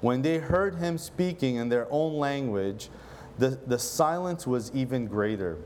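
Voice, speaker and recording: A man from Lebanon preaching in a church service, praying and then teaching in a relaxed, earnest way, often pausing and restarting his sentences. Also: mid-range voice, a little hiss or hum. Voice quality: relaxed, filler-heavy, earnest delivery with frequent self-restarts. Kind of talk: casual conversational sermon with prayer.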